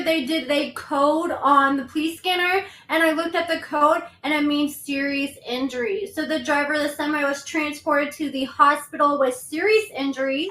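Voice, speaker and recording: Woman, high voice, commentary host, chatty explainer, roomy echo. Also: pushed and bright. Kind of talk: casual commentary.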